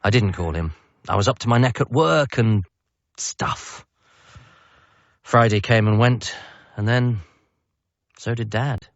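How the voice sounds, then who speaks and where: A man's voice: on the low side, takes it slowly, pauses often, dry recording, highs cut off. An actor playing an anxious, self-deprecating British doctor grieving his father, narrating a radio comedy drama in a hesitant, wry way. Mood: rueful. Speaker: narrator and lead character.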